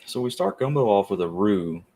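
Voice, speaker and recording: A man in his thirties joins a livestream as a guest and chats in an easy, agreeable way. His voice is low and husky, and it swings up and down in a lively way as he talks. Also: brisk, no echo. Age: 30s. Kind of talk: casual livestream chat.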